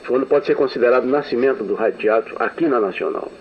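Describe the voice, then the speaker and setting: A man's voice: medium pitch, quick pace. A man interviewing on the radio, asking courteous, searching questions and repeating words as he gets them out. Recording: tinny archive recording with faint hiss.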